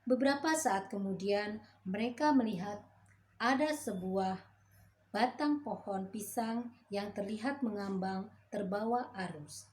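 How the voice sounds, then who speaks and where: A woman storyteller reading a fairy tale to children in a gentle, motherly way. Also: medium pitch, measured pace, poor audio.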